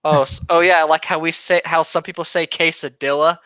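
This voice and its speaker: A man's voice: a little high, little pitch movement, a little fast, bright, forceful tone, clear, resonant tone, no room sound. A man co-hosting a podcast, joking around and reading aloud casually, with some swearing, on a slightly dull lo-fi microphone. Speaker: podcast co-host. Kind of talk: casual banter and creepypasta reading.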